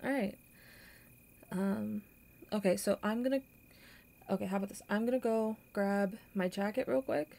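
Woman playing an awkward, flustered young woman, slightly creaky. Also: medium pitch, warm tone, lightly acted. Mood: shy, caring. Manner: halting, flustered, stammering with nervous laughs. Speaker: audio roleplay performer. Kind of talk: intimate audio roleplay acting.

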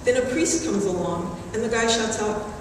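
A middle-aged woman delivering a prepared graduation speech in a measured, earnest way at a podium microphone in a large hall. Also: fairly high pitch. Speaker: graduating student class speaker. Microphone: podium microphone, recorded from the audience. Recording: very echoey hall, distant, background noise.

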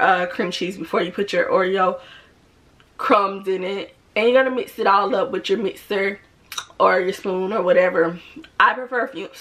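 A woman's voice: medium pitch, fairly bright tone. A young woman chatting casually to her viewers in a cook-with-me video at home, playful and talking straight to them. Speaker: cooking vlogger. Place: home room, indoors.

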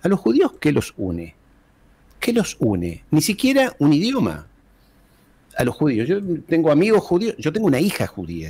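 A man's voice: a little high, darkish tone, slightly rough. A man, a guest historian speaking emphatically, posing rhetorical questions and repeating key phrases, talking into a desk microphone in a small room at home. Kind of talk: interview over video call. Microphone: large desk microphone close up.

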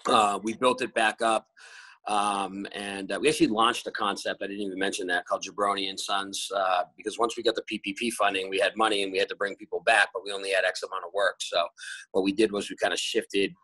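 Man rambling on a video call, bright tone. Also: fairly low pitch, flat delivery, quick pace, no room sound, low-quality recording. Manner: rambling, many fillers and restarts. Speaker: restaurant group owner. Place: bright room at home. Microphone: laptop camera on a video call.

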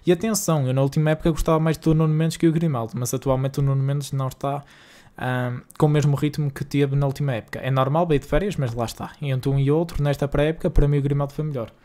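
A man's voice: fairly high pitch, fairly even intonation, fast talker, mellow. A young man from Portugal with a northern Porto accent, streaming a game live and chatting casually with viewers in slangy, exasperated banter. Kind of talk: live-stream gaming chat. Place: home streaming room.

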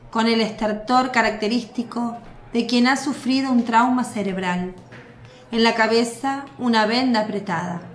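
A woman's voice: on the high side, reverberant. Woman narrating a radio drama.

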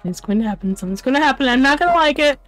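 Woman game streamer, fairly high voice. Casual, wary horror-game commentary, muttered to herself in short bursts. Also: expressive, racing, fairly bright tone, faint music behind.

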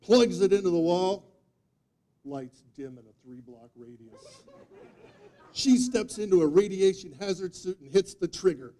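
A man in his fifties giving a humorous storytelling speech, with theatrical deadpan delivery and dramatic pauses. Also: high-pitched. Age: 50s. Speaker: speech contest speaker. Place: meeting room before a live audience, indoors. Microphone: distant audience camera microphone.

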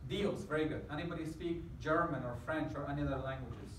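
A man's voice: a little high, fast, distant mic, a little hiss or hum. Man lecturing in an echoey hall. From Afghanistan. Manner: explains with questions to the audience, walking at the front. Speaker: guest lecturer. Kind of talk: campus lecture to students. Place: large lecture hall indoors. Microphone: far camera at the back of the hall.

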